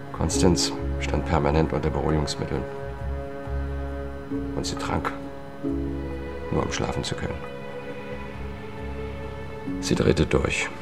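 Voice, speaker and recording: An actor playing a weary, disgraced middle-aged lawyer who tells his story in a measured, understated way in a German radio drama. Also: a bass voice, gravelly, full character voice. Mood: subdued, bitter. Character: weary disgraced Washington lawyer, confiding and haunted. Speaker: first-person narrator and lead character. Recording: studio radio play with music.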